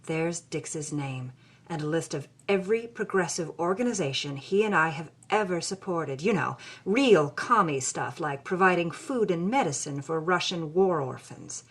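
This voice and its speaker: An actress playing a reflective granddaughter who reads a journal aloud with dry, wry irony in an audio drama. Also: female voice, low-pitched, lively intonation, moderate pace, breathy edge, full character voice. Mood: wry, somber.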